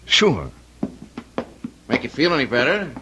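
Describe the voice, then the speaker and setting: A man's voice: mid-range voice, wildly swooping pitch, a little slow. An actor playing a polite, folksy neighborhood policeman with a hesitant manner in an old-time radio drama. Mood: polite, puzzled. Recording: dull, noisy old radio recording.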